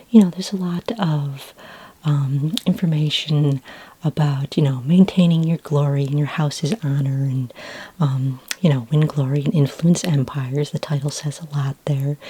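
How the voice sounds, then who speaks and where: Soft breathy, low-pitched librarian played by a woman. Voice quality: soft, breathy, half-whispered. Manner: gentle, hesitant, unhurried, helpful. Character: friendly, helpful female futuristic library clerk for aliens. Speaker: ASMR roleplay performer. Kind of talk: soft-spoken ASMR roleplay.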